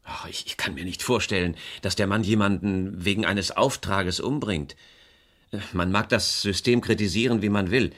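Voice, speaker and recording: A man's voice: low-pitched, normal speed, fairly bright tone. An actor playing a harried, married French businessman in a German radio crime play, earnest and defensive as he explains himself. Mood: matter-of-fact.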